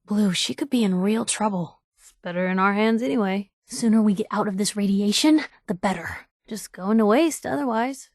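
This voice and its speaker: A woman's voice: medium pitch, normal speed, few pauses, a little mellow, dry recording, clean studio sound, close to an everyday voice. An actress playing a plucky, outspoken young woman reporter, a video game companion with a wry, folksy, punchy delivery. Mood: wry, conversational. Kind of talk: video game voice acting, short reactive lines.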